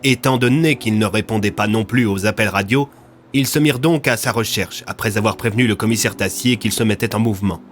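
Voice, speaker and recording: A man's voice: fairly low pitch, fairly quick, slight background noise. A man narrating a scripted audio fiction serial as a dramatic storyteller.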